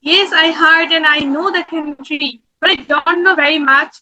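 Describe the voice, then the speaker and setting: A woman's voice: high voice, fairly even intonation, moderate pace, bright, forceful tone, rough recording. A woman co-hosting a video-call conversation in learner English, asking polite questions. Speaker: co-host.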